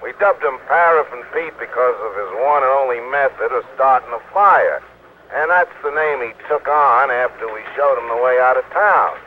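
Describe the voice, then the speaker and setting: An actor playing a folksy, talkative small-town American police lieutenant in old radio drama. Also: male voice, mid-range voice, moderate pace, close to an everyday voice. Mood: chatty, reminiscing. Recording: tinny old radio, faint noise.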